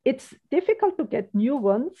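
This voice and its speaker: A woman's voice: high-pitched, very animated, moderate pace. Woman in her 50s, business guest, chatty with restarts, slightly dull lo-fi audio. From Austria. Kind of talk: casual business interview.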